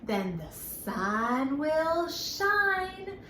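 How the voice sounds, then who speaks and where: A woman's voice: high-pitched, big pitch swings, very slow, sharp tone. A middle-aged woman hosting a storytime for young children, speaking in simple, sing-song phrases with emphatic words and questions to her listeners. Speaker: children's library storytime host. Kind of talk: children's lesson with rhymes and questions to young viewers. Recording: roomy echo with background noise.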